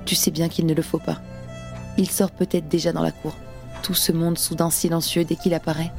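A woman's voice: sits low, little pitch movement, normal speed, gravelly. A woman playing a grieving, fiercely determined young revolutionary in an audio drama, speaking with insistent intensity. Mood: bleak, anguished. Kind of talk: audio drama acting in French. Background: music.

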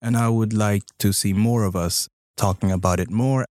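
A man's voice: fairly low pitch, fairly even intonation, moderate pace, darkish tone, dry recording, clean studio sound. A man hosting an interview, supportive and often finishing his guest's sentences. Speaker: podcast host. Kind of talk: conversational interview.

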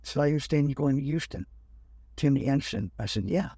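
A man's voice: medium pitch, brisk, gravelly, slightly rough, no room sound, studio quality. An older man, a guest, telling his story in an interview and animatedly acting out what others said. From United States. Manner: animated, acts out other people's lines.

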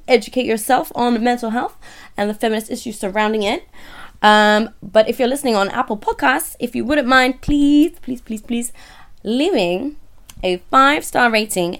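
A woman hosting a podcast in a casual, bubbly, rambling way, her voice croaky from a recent illness. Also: fairly high pitch, a bit bright. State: hoarse from illness. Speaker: podcast host.

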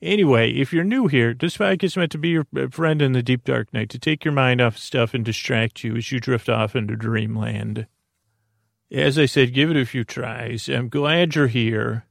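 A man hosting a bedtime podcast in a creaky, soothing voice, rambling haltingly and drifting into tangents. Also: medium pitch, dry recording, studio quality. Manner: meandering, tangent-prone, halting; lulling, soothing. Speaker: podcast host.

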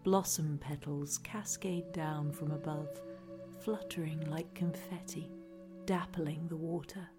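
A woman reading a scripted guided relaxation in a soothing, hushed, deadpan way. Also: low-pitched, takes it slowly. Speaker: narrator, reader. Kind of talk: scripted guided relaxation narration, parody meditation. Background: music.

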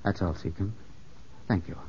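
An actor playing a proud, suspicious young country gentleman in a 1950s radio drama, speaking in a clipped, formal, brooding way. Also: deep voice, fairly even intonation, normal speed, a little hiss or hum, natural voice. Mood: curt, proud. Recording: muffled 1950s radio recording, loud music.

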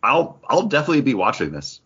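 A man co-hosting a podcast, chatting in a relaxed way and sharing news items. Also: mid-range voice, fast talker, slightly rough. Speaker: podcast co-host. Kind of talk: casual chat. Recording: dull lo-fi sound.

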